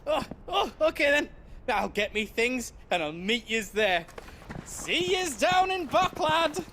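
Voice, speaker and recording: An actor playing a big, eager, simple-hearted young man in a video game, excitable. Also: very high voice, takes it slowly, a little hiss or hum, exaggerated voice. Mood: flustered, eager. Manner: excitable, colloquial. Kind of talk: video game cutscene acting.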